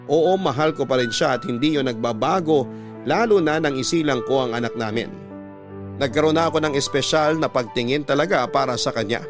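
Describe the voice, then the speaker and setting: A man with a Filipino accent reading a letter as scripted, sorrowful, reflective radio drama narration. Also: medium pitch. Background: music bed.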